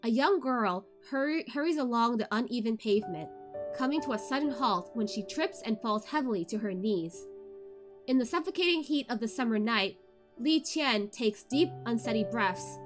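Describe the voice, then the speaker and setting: A woman hosting a read-along livestream in an informal, expressive way, with music in the background. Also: on the high side, moderate pace. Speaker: VTuber host of a book read-along. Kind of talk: casual chatty livestream; expressive audiobook-style narration of a novel.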